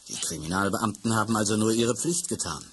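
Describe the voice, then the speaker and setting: An actor playing a kindly father who is an actor and theatre director, talking in a calm, reassuring way in a vintage radio play. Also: fairly low pitch, brisk, slight background noise.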